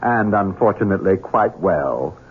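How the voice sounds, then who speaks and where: An actor playing a sly, sardonic, domineering gentleman heir with mocking, theatrical delivery in a 1970s radio drama. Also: sits low, lively intonation, moderate pace. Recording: hissy, muffled old radio broadcast.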